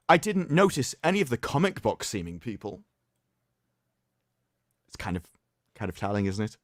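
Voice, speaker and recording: A man's voice: mid-range voice, dry recording, clean studio sound. A man hosting a livestream, doing a mock-dramatic reading with commentary in a theatrical, sarcastic, mock-solemn manner. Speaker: livestream host.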